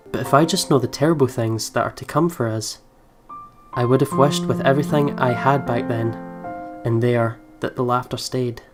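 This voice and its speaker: A man hosts a let's play, reading story narration aloud and breaking off for fond, giggly commentary. His pitch is middling, and faint music plays behind him.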